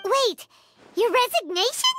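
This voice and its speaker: A woman voicing a tiny, eager, childlike fairy companion in a video game, with a squeaky, perky delivery. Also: big pitch swings, unhurried, sharp tone, soft background music, exaggerated voice. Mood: surprised, questioning. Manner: perky, childlike, speaks of herself in third person.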